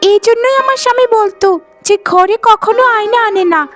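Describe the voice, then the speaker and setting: A woman's voice: very high-pitched, a little fast, a bit bright, soft background music. A woman voicing a vain, spiteful young bride in a melodramatic cartoon, with a showy, taunting manner. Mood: scornful.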